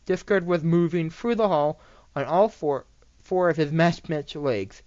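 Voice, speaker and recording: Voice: mid-range voice, unhurried, a little mellow, dry recording. An amateur narrator reading fan fiction aloud, often stumbling and repeating phrases. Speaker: story narrator. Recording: dull, dry home recording.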